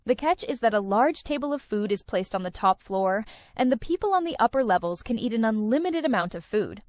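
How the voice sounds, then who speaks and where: A woman's voice: a little high, animated, fairly quick, darkish tone, no room sound, clean studio sound. A woman narrating a countdown of film and series recommendations in an enthusiastic, recommending tone. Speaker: video host. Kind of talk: scripted list-video narration.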